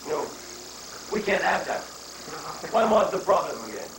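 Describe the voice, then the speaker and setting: A man's voice: sits high, sing-song, moderate pace, vocal fry, heavily acted. A man in a live play reading playing an anxious, clueless junior political sidekick. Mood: worried. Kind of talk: dramatic play reading before audience. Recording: echoey, distant, noisy live recording.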